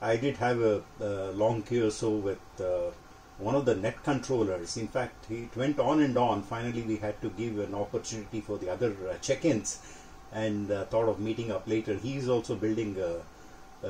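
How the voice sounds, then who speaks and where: An older man giving an informal technical demonstration as a radio hobbyist, speaking in a measured, matter-of-fact way. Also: lowish voice, mellow. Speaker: amateur radio hobbyist presenting. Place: indoor home radio workshop. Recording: clearly noisy home workshop recording.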